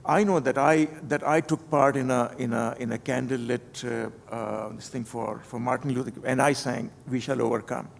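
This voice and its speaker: An older man from Pakistan, reflecting on his life at a conference panel, halting and full of repeated words and restarts. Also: medium pitch, steady tone, creaky. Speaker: panel speaker. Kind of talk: unscripted personal reflection at a panel. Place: conference hall. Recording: long hall reverb with faint noise.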